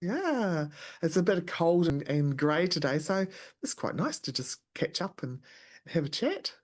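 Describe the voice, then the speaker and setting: A woman's voice: lowish voice, very animated, measured pace, darkish tone. A woman, hosting a podcast in a casual, chatty interview style. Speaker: podcast host.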